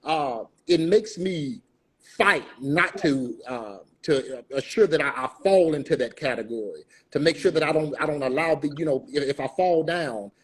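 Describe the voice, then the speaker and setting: American guy being interviewed, rough husky voice, earnest, stops and starts as he thinks. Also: a little high, lively intonation, a bit bright. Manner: halting, earnest. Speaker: guest actor. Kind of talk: reflective interview talk.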